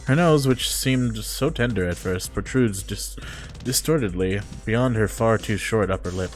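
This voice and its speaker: A man's voice: on the low side, unhurried. A man streamer reading a visual novel aloud, breaking off for jokey, mock-disgusted casual asides. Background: music.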